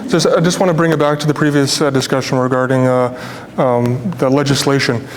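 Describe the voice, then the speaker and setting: A man's voice: fairly even intonation, fairly quick. A young man from Toronto with a North American accent, speaking earnestly and colloquially as a developer on a panel. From Toronto, Canada. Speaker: real estate developer on a panel. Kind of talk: informal panel discussion remarks. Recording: echoey hall, noisy with low rumble.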